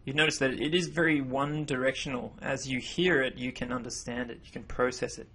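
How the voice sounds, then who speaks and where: Male voice-over teacher, native English speaker, husky, casual and self-correcting. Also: medium pitch, fairly quick. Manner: relaxed, self-correcting explanations. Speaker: language teacher. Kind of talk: narrated slideshow lesson.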